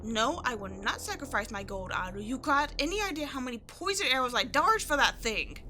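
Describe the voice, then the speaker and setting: A woman acting in a roleplay audio drama as a brash young tomboy archaeologist with a soft side, animated, slangy and teasing. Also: sits high, a little fast, a little hiss or hum, heavily acted. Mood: defiant, shouting.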